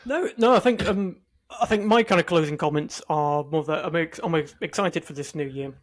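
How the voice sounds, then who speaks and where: A man with a somewhat high voice co-hosts a podcast. He chats casually and comes across as agreeable and easygoing. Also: moderate pace. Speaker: podcast co-host. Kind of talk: casual chat.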